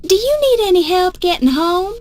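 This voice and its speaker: A woman's voice: extremely high pitch, animated, normal speed, a little mellow. An actress playing an eager, polite young woman starting out as a reporter in an adventure game, with music behind her. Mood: concerned. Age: young adult. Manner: earnest, polite, determined. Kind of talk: scripted adventure game acting. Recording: music under the voice.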